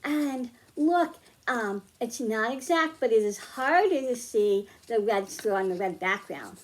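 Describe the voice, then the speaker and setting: High-pitched, slow-paced woman teaching young children. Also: animated. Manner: simple explanatory phrasing with questions to young listeners. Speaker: children's library science storytime presenter. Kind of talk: storytime read-aloud and hands-on lesson for young children.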